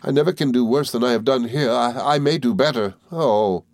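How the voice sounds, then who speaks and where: A man's voice: mid-range voice, a little fast, darkish tone, dry recording, studio quality, pitched above the performer's own voice, lightly acted. A man voicing a shamed, wretched young woman in a storybook, faltering and desperate to escape. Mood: shamed desperation.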